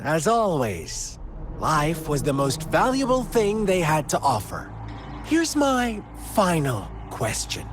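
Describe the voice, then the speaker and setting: An actor voicing a theatrical, menacing video game villain who tells dark parables in a playful, taunting way with drawn-out phrasing. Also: male voice, a little high, heavily acted. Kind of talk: dramatic video game voice acting.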